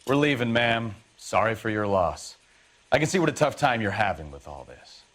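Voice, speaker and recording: A man's voice: on the low side, expressive, moderate pace, a bit bright. An American man playing a blunt, wry, eager 1940s police detective's partner in a video game. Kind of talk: dramatic video game acting.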